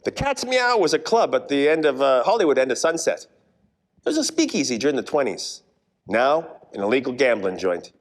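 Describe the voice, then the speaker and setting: Noir parody detective, male actor: mid-pitched, wisecracking, jaded, lively intonation, recorded with long room reverb. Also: fairly quick, close to an everyday voice. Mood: brooding narration. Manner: wry, world-weary. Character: wisecracking hard-boiled 1940s male private detective. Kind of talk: 1940s radio noir parody, narration and dialogue. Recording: echoey stage or hall reverb.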